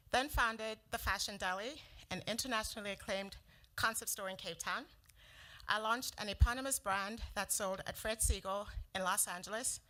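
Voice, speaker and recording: Earnest woman founder giving a pitch. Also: bright, forceful tone. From South Africa. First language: Sepedi. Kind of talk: prepared pitch presentation.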